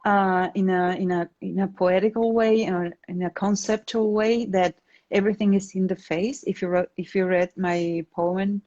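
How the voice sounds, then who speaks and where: A woman's voice: mid-range voice, steady tone, moderate pace, darkish tone. A woman with an Argentine accent and Spanish as her first language, speaking casually as an interview guest and often searching for words. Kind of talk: casual interview talk, explaining own work. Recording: slightly dull, lo-fi online call.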